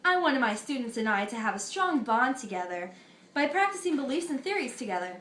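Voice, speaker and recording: A woman's voice: a little high, big pitch swings, fairly bright tone, reverberant, slight background noise. A teenage girl playing the philosopher Pythagoras in a school mock interview, reciting her answers earnestly with small slips. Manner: earnest, recited, a little halting. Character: ancient Greek philosopher Pythagoras, an older man, earnest. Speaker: student in a school history project.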